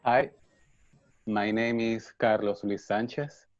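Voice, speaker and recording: A man from Venezuela, an animator who introduces himself slowly and deliberately over a video call. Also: lowish voice, flat delivery, dry recording. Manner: slow and deliberate. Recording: dry, muffled call audio.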